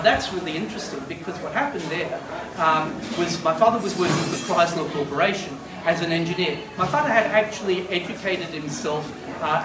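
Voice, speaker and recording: A man in his fifties from Argentina, whose was Spanish, telling a rambling story at a memorial. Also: fairly high pitch. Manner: rambling, animated, gestures broadly with both hands. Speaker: eulogist. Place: glass-walled room by a beach. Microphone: far camera. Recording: roomy and distant with noise.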